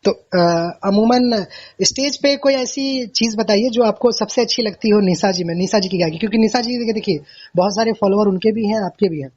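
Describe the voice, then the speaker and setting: A man hosting a conversational phone interview, effusive and repeating himself, with a husky, high voice for a man. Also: brisk, darkish tone. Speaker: interviewer, radio-style host. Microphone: recorded phone call. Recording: dull, lo-fi phone call recording.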